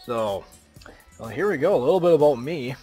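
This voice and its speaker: A man's voice: mid-range voice, animated, a little fast, mellow, husky, faint music behind. A man from the American Midwest casually commentating as he plays a driving game, chatty and rambling with lots of fillers. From Minnesota, United States. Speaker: let's play host.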